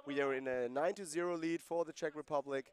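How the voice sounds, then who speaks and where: A man's voice: a little high, brisk. A man giving live play-by-play commentary on a lacrosse game, conversational and explaining rules and player backgrounds between plays.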